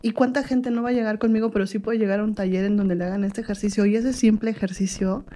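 A woman's voice: medium pitch, steady tone, fast talker, warm tone. A middle-aged woman with a Mexican accent, talking casually and reflectively in an interview. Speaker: psychologist on a talk show.

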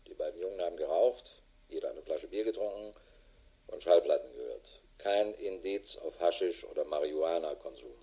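An actor playing a harried, middle-aged police investigator in a radio drama, matter-of-fact and dry. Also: male voice, sits low, moderate pace, mellow, husky, dull audio. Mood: sober, dictating. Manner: matter-of-fact, dry, clipped official phrasing. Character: harried, world-weary male police investigator with a wife. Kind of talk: German radio drama acting, dictated report.

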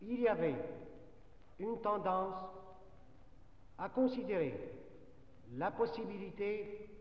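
A man with a high voice speaks to an assembly with great slowness and care. He is far from the mic, and the hall echoes long behind him. The old recording sounds dull and muffled. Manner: slow, deliberate, measured. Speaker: delegate. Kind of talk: formal assembly speech. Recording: archival 1947 broadcast from a large hall, distant.

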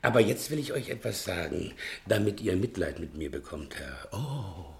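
A theatrical, self-pitying fat servant, wildly swooping. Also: male voice, low-pitched, animated, moderate pace, creaky. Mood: plaintive, servile. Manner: melodramatic, wheedling, swinging from hushed to declaiming. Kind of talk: 1960s German radio play acting.

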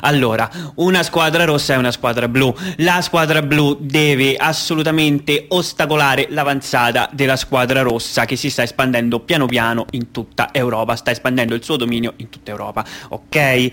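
A male actor plays a man patiently laying out a dark game on stage. He is didactic and insistent, often checking he is understood, with some exasperation. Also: mid-range voice, fairly even intonation, normal speed, hardly any pauses, lightly acted. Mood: patient, exasperated. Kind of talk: conversational stage storytelling.

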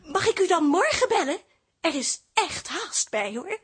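A woman's voice: very high-pitched, sing-song, measured pace, full character voice. An actress playing an earnest, desperate amateur theatre club chairwoman, polite and gushing, in a radio comedy. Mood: flustered. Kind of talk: Dutch radio comedy drama acting.

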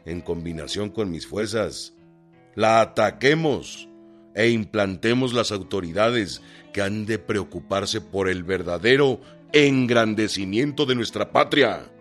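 A man's voice: on the low side, a little slow, natural voice. A man playing a resolute rebel general. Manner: formal letter reading. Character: rebel northern general reading his letter, resolute. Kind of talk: radio drama acting. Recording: with music underneath.

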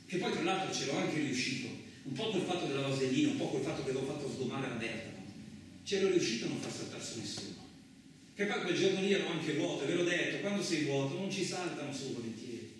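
An actor playing a rough, rambling male truck driver in a colloquial radio theatre monologue, with an earthy, agitated manner. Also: a little high, lively intonation, fast, natural voice. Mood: bitter, confiding. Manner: earthy, agitated, rhetorical tags, swearing. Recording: echoey distant room, faint noise.

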